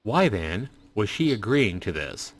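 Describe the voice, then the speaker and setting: Low-pitched man reading a story. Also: big pitch swings, a little slow. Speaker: host reading a visual novel aloud to viewers. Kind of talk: dramatic storytelling narration. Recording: music behind the voice. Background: music.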